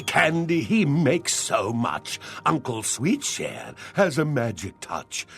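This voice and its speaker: Giddy, husky singsong candy-workshop helper. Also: male voice, on the high side, a bit bright, heavily acted. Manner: singsong rhyming with stylized chuckles.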